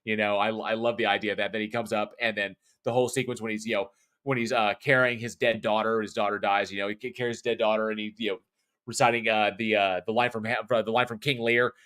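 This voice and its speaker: Passionate man with a bright voice. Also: on the low side, level tone, fast. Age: 40s. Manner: passionate, rambling, with frequent restarts and profanity. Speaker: podcast co-host. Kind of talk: casual film review chat.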